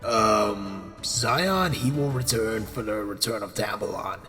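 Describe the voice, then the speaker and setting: A man's voice: fairly low pitch, slow pace, loud music behind the voice. A man giving short scripted comedic commentary, his voice heard in a long reverb.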